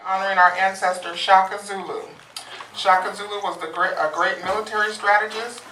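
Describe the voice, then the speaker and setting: A woman's voice: mid-range voice, level tone, brisk. A woman narrating a live stage show, giving scripted introductions to an audience. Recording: echoey, distant, noisy live recording.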